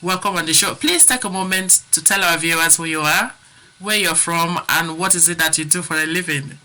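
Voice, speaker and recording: A woman's voice: on the low side, a little fast, bright, forceful tone. A woman host welcoming a guest and asking scripted questions. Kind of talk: interview host.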